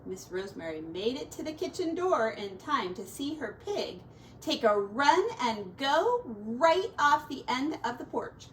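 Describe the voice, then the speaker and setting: A woman's voice: on the high side, sing-song, measured pace. A woman teacher reading a children's story aloud expressively, stretching words and adding folksy, chatty asides for young listeners. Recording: distant mic with faint background noise.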